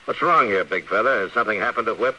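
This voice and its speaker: A frontier lawman in a radio western, very low and hard-edged in voice. He is calm and sparing with words. The old recording is hissy and sits a little far off. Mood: steady, questioning. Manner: measured, laconic. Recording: noisy old radio recording, slightly distant.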